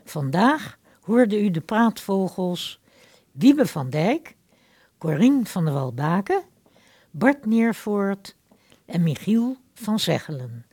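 A woman's voice: medium pitch, sing-song, takes it slowly, mellow, husky, no room sound. A woman announcer reading scripted closing credits for a radio drama.

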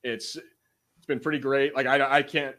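A man co-hosting a podcast, telling stories in a casual, animated, self-deprecating way with some swearing. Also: medium pitch, moderate pace. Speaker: podcast co-host. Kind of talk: casual banter, storytelling.

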